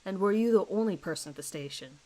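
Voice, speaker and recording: A woman's voice: medium pitch, expressive, quick pace, dark tone, natural voice, rough recording. A woman voicing a middle-aged British male police detective who questions a suspect in a formal, clipped, probing manner in an audio horror story. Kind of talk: dramatized police interview recording.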